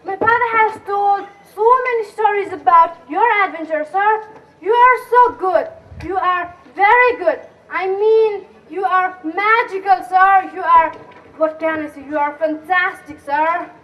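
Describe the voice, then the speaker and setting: A woman's voice: very high-pitched, unhurried, a bit bright. A young woman playing an eager, admiring teenage daughter in an amateur school stage play. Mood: gushing. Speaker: student actor in a school play. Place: school stage, recorded from a distance. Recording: distant school stage recording.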